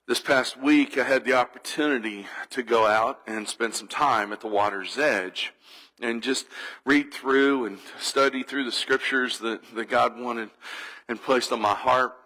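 A man's voice: medium pitch, pushed and bright, poor audio. A man preaching a sermon as a church pastor, earnest and reflective, building through repeated phrases. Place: church sanctuary.

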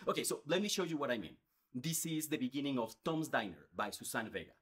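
A man's voice: mid-range voice, fast talker. A man in his thirties from Mexico, a Spanish speaker, earnestly explaining to the camera with lots of hand gestures. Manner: earnest, animated, gestures a lot with his hands. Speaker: YouTuber. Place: indoors, in front of a space backdrop.

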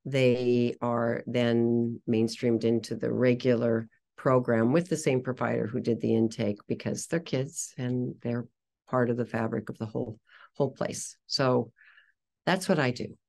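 A woman's voice: a bass voice, steady tone, unhurried, vocal fry, darkish tone. An older woman pediatrician explaining her clinic plainly over a video call from a small office. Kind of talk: casual panel talk on video call. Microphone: computer webcam on video call.

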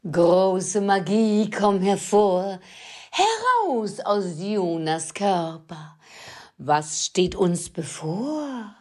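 A woman's voice: medium pitch, big pitch swings, drawn-out words, a little mellow, heavily acted. A woman playing a bossy, scheming evil witch in an audio drama, with an imperious theatrical delivery. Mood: solemn, incanting.

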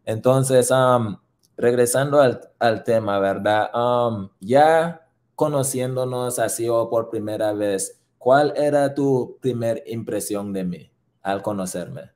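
A young man hosting a casual interview over a webcam, asking relaxed questions with English fillers mixed in. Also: medium pitch, slow pace. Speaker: host, interviewer. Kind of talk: casual video-call interview. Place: room indoors.

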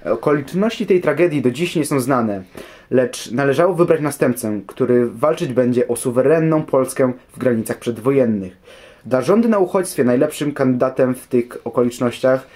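A man's voice: mid-range voice, expressive, moderate pace, mellow. A man giving scripted documentary narration, answering questions about history.

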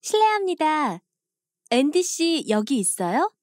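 Woman reading as a bright friendly young woman. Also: sits high, big pitch swings, a little slow. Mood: polite. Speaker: dialogue voice actress. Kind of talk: textbook listening dialogue.

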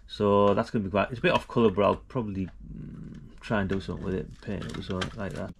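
A man's voice: sits low, fairly even intonation, fast talker, gravelly, background noise. A middle-aged man, chatting casually and informally as he hosts a vlog. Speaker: vlog host.

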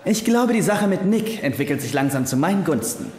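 An actor playing an insecure, competitive young intern, boastful and easily flustered, in a dubbed TV comedy. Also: male voice, fairly high pitch, sing-song, fairly quick, a little mellow. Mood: self-satisfied. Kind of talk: German-dubbed TV comedy acting.

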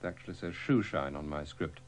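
A man presenting the weather on radio, joking in a deadpan way. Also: a bass voice, brisk, slight background noise.

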